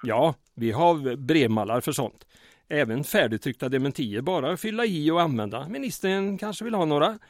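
Man acting a wry, higher-pitched town mayor. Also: expressive, no room sound, studio quality. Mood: dry, sarcastic. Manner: wry, stammers when flustered. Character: harried, sardonic small-town mayor under wartime occupation. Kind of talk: comic radio drama acting.

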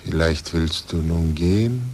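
A man acting a weary, reflective old blind scientist in a 1940s German radio drama, measured and declamatory, sinking to a near-whisper. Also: a little mellow. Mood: guarded, weary. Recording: noisy 1940s radio recording with rumble.

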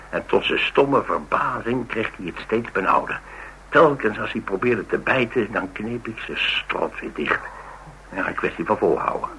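A rugged, blunt man speaks in a low, forceful voice. He tells his story with dry humour in a colloquial radio play manner, over some background noise. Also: exaggerated voice, low-quality recording. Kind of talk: Dutch radio drama dialogue, conversational storytelling.

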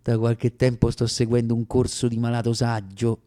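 A man performing a comic radio theatre monologue as an actor, with deliberate, wry, dramatic phrasing and pauses. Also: mid-range voice, monotone, dark tone.

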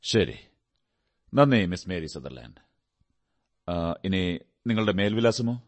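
A man playing a brilliant, condescending detective in a radio drama, speaking in a deliberate, instructive, theatrical way. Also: deep voice, very animated, full character voice. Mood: businesslike, advising. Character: brilliant, self-assured male detective, observant and condescending.

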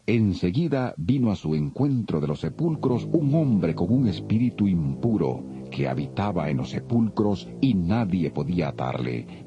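Casting: deep-voiced man, solemn yet lively storyteller for dramatized Bible narration over music. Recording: dull audio with music bed.

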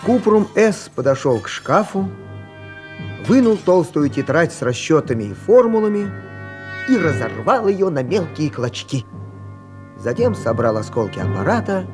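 A man's voice: fairly high pitch, sing-song, unhurried. A man narrating a children's radio play as a storyteller, reading scripted prose. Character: expressive male storyteller narrating a children's tale. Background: music.

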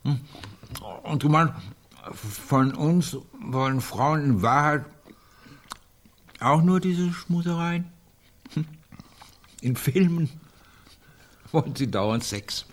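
A man's voice: on the high side, slow pace, long pauses. An actor playing a chummy, somewhat prurient older man who muses and justifies himself in a German radio drama. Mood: chummy, musing.

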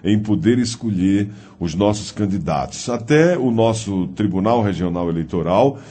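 A man hosting a radio interview show, talking with an animated, swinging pitch. His voice is dark and slightly husky. He chats loosely and laughs at himself between questions. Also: normal speed. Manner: chatty, laughs at himself, self-deprecating. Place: radio studio.

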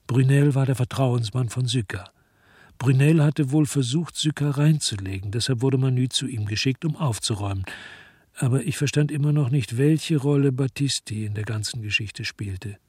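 An actor playing a weary, dogged French detective who narrates his noir radio crime story in a measured, laconic way. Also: mid-range voice, dark tone, slightly rough, dry recording, studio quality, natural voice. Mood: brooding. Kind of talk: first-person narration in a noir radio drama.

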